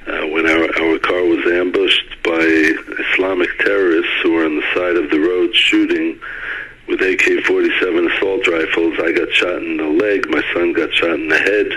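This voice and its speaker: A man's voice: sits low, steady tone, moderate pace, sharp tone, husky, low-quality recording. A middle-aged man from Brooklyn, a former mayor, giving an earnest interview over the phone and often repeating words as he starts a sentence. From United States (Brooklyn). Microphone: phone line.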